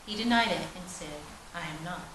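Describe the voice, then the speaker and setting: Woman, church scripture reader, solemn delivery, very roomy distant sound, clearly noisy recording. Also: lowish voice, normal speed. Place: large indoor church space.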